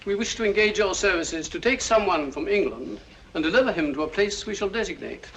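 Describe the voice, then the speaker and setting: An actor playing a formal, polite and guarded government emissary in a 1940s film. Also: male voice, high voice, lively intonation, a little fast, fairly bright tone. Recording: muffled, faintly noisy 1940s film soundtrack.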